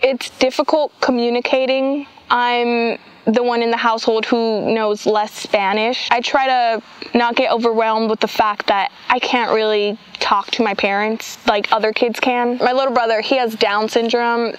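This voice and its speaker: Teenage girl, clear smooth voice, a touch high, candid personal interview. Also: fairly even intonation, unhurried, poor audio. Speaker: student. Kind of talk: documentary interview.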